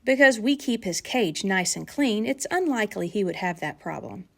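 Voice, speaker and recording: Storybook primary teacher, read by a woman: gentle, patient, explanatory, lively pitch movement. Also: normal speed, gravelly. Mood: reassuring, explaining. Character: gentle, reasoning female primary school teacher.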